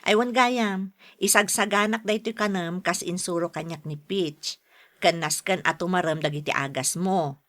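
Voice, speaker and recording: A woman's voice: lowish voice, normal speed, a bit bright, natural voice. A woman with an Ilocano accent acting a frank, chiding but caring younger sister in a radio drama. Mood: friendly.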